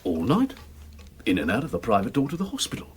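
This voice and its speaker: An actor playing a gossipy working-class manservant in a radio drama, complaining colloquially over drinks. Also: male voice, lowish voice, huge pitch swings, fast talker, a little hiss or hum. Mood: grumbling.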